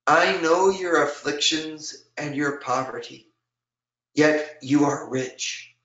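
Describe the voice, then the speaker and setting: A man preaching and leading prayers for an online talk, his voice a little hoarse, speaking earnestly with many fillers and slowing down for scripture. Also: on the high side, fairly bright tone, echoey room, muffled recording. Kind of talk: spoken teaching and prayer.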